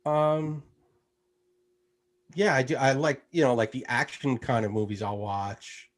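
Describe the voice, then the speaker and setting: A man from the United States talking casually as an interview guest, relaxed and rambling, with lots of fillers. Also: mid-range voice, measured pace. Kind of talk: casual conversation.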